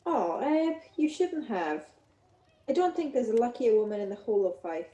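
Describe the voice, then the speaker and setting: A woman's voice: a little high, very animated, normal speed, mellow, muffled recording. A woman playing a loving, contented middle-aged wife in an amateur radio play. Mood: loving, tender. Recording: echoey, noisy home recording.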